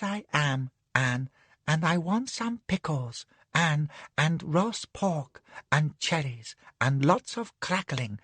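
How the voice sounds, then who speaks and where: A man voicing a drowsy, capricious young imperial concubine who purrs her words slowly. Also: a little high, fairly bright tone, no echo, pitched above the performer's own voice, exaggerated voice. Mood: sing-song.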